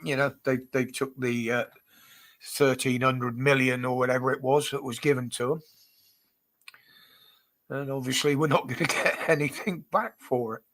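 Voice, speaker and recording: A man's voice: mid-range voice, fairly even intonation, moderate pace, pauses often, fairly bright tone. A British man hosting a casual livestream chat, his nose blocked up, chatty and greeting viewers by name with frequent chuckles. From United Kingdom. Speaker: livestream host.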